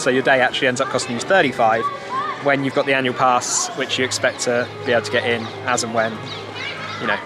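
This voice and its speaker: A young British man chatting casually to camera as a vlogger, outdoors on a camera held at arm's length. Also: medium pitch. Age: young adult. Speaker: theme park vlogger. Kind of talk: casual vlog chat to camera. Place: outdoors in a theme park. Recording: outdoor vlog with music behind.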